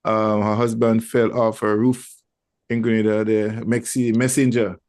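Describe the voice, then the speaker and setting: A man's voice: fairly low pitch, level tone, unhurried, a little mellow, dry recording, clean studio sound. A man hosting a casual radio talk show, rambling with many fillers and restarts. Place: lives in Toronto.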